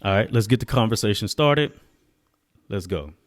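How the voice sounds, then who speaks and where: A man's voice: lowish voice, lively intonation, moderate pace, no echo, clean studio sound. A man vlogging a casual product test, talking colloquially and straight to his viewers. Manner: colloquial, addresses viewers directly. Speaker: vlogger, gadget tester.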